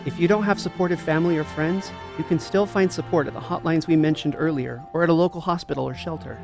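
A man's voice: a little high, steady tone, fast talker, vocal fry. A man co-hosting a podcast, reading a scripted support announcement. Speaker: podcast co-host. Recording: music behind the voice.

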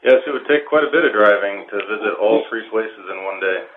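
A man being interviewed as a wildlife manager, giving measured, technical explanations. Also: lowish voice. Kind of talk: informative interview answers. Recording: phone-like line with faint noise.

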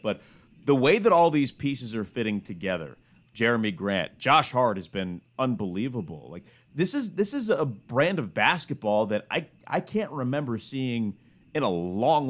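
A man working as a sports studio host, talking through basketball analysis in a casual, conversational way. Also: medium pitch.

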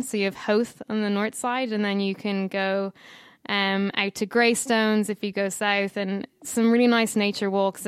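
An Irish woman from Dublin, in her thirties, talking as an interview guest. Her voice is smooth and clear and she rambles happily with little fillers. The sound is close and dry, with no room. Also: mid-range voice, no room sound. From Ireland. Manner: chatty, warm storytelling with fillers. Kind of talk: casual interview chat.

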